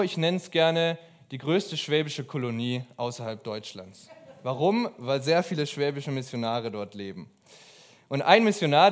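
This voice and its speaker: A young man preaching a sermon in an earnest, emphatic way, pausing between phrases. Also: medium pitch. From abroad.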